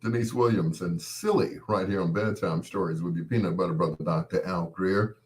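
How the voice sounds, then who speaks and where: A man with a fairly low voice talks as a show host in casual, rambling chat. He is folksy and playful, telling things as loose anecdotes addressed straight to his listeners. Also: fairly quick, a little mellow, a little hiss or hum. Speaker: host of a late-night show.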